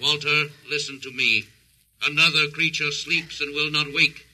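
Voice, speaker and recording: A man's voice: fairly high pitch, steady tone, normal speed, sharp tone, low-quality recording. A man playing a cold, logical alien interrogator in a 1950s radio drama, speaking in a stilted, formal, mechanical way. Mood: coldly insistent.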